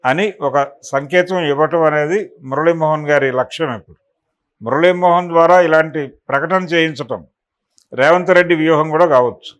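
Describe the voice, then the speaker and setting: A man's voice: fairly high pitch, a little fast, fairly bright tone. A man giving extended spoken news analysis as a political commentator, explaining and recounting in detail.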